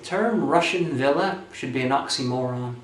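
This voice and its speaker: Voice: medium pitch, normal speed. A comedy film review host with a sarcastic, theatrical, mock-imperious way of delivering scripted commentary. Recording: roomy echo, faint background noise.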